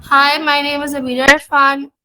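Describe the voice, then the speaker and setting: A woman's voice: high voice, level tone, moderate pace, sharp tone. A young woman with a Pakistani accent giving a short self-introduction as a student in a class. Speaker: webinar participant, student.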